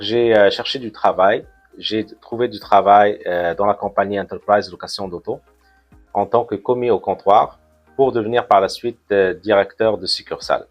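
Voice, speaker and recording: A man from Morocco in his forties, an immigration consultant answering interview questions in a measured way, often pausing with fillers. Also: fairly low pitch. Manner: measured, many hesitations with "euh".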